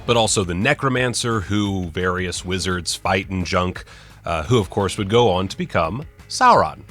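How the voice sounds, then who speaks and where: A man's voice: low voice, expressive, normal speed, over music. A man narrating a scripted list video as host, with wry, sarcastic, joking asides. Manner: wry, sarcastic, jokey mock-casual delivery.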